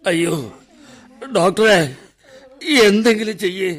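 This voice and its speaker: An actor playing an injured man in pain, moaning and pleading with a gravelly voice. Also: high-pitched, steady tone, measured pace.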